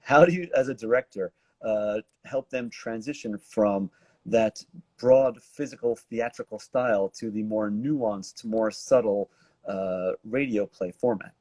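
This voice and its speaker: Low, mellow-voiced man hosting an interview. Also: no echo. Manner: halting, wordy, roundabout questions. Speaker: talk show host, interviewer. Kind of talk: casual online interview.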